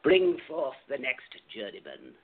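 A man's voice: medium pitch, wildly swooping pitch, creaky, husky, exaggerated voice. A man playing the solemn, grandiose president of a sinister secret society in a radio play, speaking with ceremonial pomp. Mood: grandly solemn. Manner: ceremonial, pompous, measured. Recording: thin, phone-like band-limited audio.

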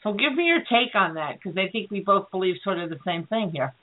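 A woman's voice: mid-range voice, lively intonation, fairly quick. A woman hosting a radio show, chatting casually and informally with her guest. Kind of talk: casual interview chat. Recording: narrow phone-like internet radio sound.

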